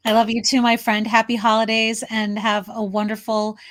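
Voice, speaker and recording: A woman's voice: a little high, level tone, normal speed. A woman chatting casually as co-host of a talk show. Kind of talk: casual chat.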